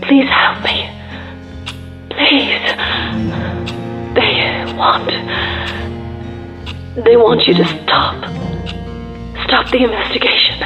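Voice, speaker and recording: Actress doing a scared hostage woman, very slow tearful begging, dull lo-fi video sound with music. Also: fairly high pitch, big pitch swings, heavily acted. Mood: frightened, pleading. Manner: halting, whispered pleading. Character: frightened captive woman pleading on a hostage video. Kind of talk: audio drama acting.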